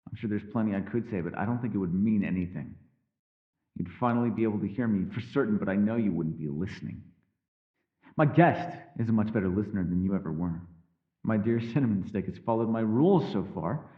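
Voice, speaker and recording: Guy playing a mysterious male elf, lowish voice that swings a lot, old-fashioned formal talk, teasing but world-weary, asmr fantasy roleplay. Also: lively intonation, fairly quick, phone-quality sound, lightly acted. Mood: weary, brooding.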